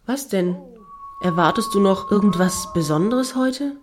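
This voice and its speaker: A woman's voice: mid-range voice, animated, measured pace, music in the background, lightly acted. An actress playing a wry, mocking woman in a satirical radio play, with a dry delivery. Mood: sceptical.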